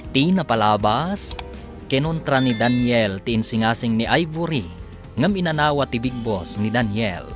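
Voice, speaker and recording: A man narrates a radio drama, announcing the credits and recapping the story in a measured, broadcast manner. His pitch moves a lot, and clear theme music plays behind him. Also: animated.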